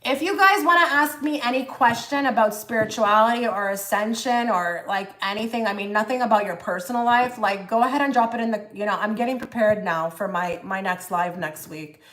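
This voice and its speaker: A woman's voice: a little high, brisk, hardly any pauses, a bit bright, rough recording. A woman hosting a casual tarot-reading livestream, talking chattily with many fillers and restarts while she reads cards and answers the chat. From half Egyptian. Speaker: tarot reader livestream host.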